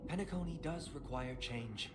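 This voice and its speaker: An actor voicing a solemn, haughty young male leader in a video game, speaking in a formal, measured, rhetorical way. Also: medium pitch, close to an everyday voice. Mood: grave, stern. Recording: loud game music underneath. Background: loud music.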